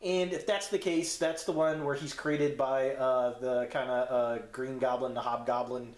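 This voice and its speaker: A man's voice: medium pitch, fairly even intonation, unhurried. A man hosting a casual toy review, talking in an informal, chatty, slangy way. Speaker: host of a toy review channel. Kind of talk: casual unboxing review commentary. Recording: roomy, echoey sound.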